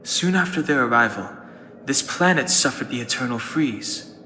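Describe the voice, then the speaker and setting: A serious young traveler from a video game, level-headed and articulate. His voice is bright and forward at a middling pitch, ringing in a long reverb as he explains in earnest. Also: expressive, normal speed. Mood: earnest, explaining. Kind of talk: scripted video game voice acting. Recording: reverberant hall, faint noise.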